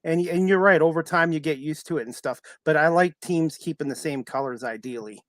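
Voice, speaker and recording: A man in his forties hosting a casual sports talk show, speaking in a halting but keen fan's manner. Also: on the high side, a bit bright, no room sound, clean studio sound. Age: 40s.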